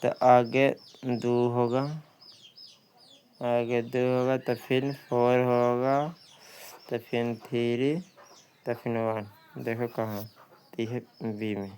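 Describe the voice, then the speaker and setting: A man's voice: mid-range voice, level tone, slow, pauses often, darkish tone, a little hiss or hum. A man working through practice questions as a coaching teacher, reading each one out and repeating questions and answers.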